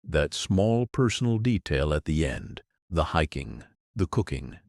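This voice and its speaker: A man giving a scripted English lesson, explaining clearly and patiently in an encouraging way. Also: a bass voice, expressive, unhurried, a little mellow, dry recording, studio quality. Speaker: English teacher. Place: in Europe.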